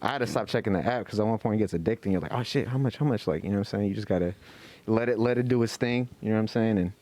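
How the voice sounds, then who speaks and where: A man's voice: on the low side, very fast, warm tone. A man co-hosting a casual podcast, talking loosely and profanely with lots of restarts. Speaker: podcast co-host.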